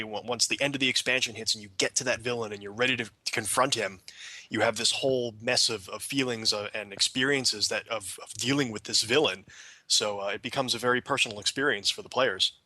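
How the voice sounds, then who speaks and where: A man in a casual gaming podcast discussion, giving his view in hesitant, halting phrases. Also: on the low side, bright, forceful tone, dry recording. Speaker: podcast panelist.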